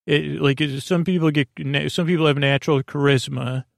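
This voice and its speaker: Creaky-voiced man rambling through a bedtime monologue. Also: on the high side, steady tone, no echo, studio quality. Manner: meandering, halting, repeats and trails off mid-word; goofy, silly, self-deprecating. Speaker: podcast host.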